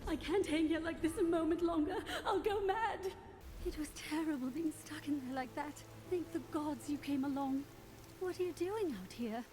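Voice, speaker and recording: An actress playing a flustered, roguish young female thief in a video game, chatty and expressive. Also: high-pitched, moderate pace, lightly acted. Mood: frantic relief.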